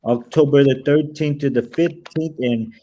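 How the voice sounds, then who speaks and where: A man's voice: mid-range voice, moderate pace, dark tone. Rambling male panel host. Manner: rambling, many ums and restarts. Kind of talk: casual panel chat.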